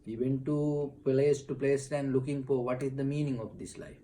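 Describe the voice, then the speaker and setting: A Sri monk with a warm, mellow voice, talking at a middling pitch. He explains patiently, stopping often on fillers and starting his sentences over, with a faint hum of noise behind him. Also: level tone. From Sri Lanka. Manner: halting, with many fillers and restarts. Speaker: Buddhist monk. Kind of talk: explaining teachings in an interview. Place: temple.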